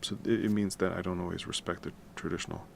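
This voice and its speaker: Man, deep baritone, very low, heavy vocal fry, quiet rambling casual talk, background noise and keyboard typing. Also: fast. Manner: relaxed, rambling, swears casually; talks quietly to keep the noise down. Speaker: music composition streamer. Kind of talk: casual late-night talk while working. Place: home room at night.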